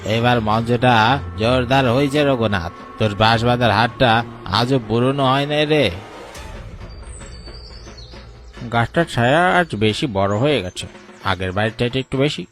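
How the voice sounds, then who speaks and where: Male actor as superstitious village man, apprehensive cartoon horror dialogue, mid pitch over music. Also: moderate pace, natural voice. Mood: uneasy. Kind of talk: animated horror story dialogue. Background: music bed.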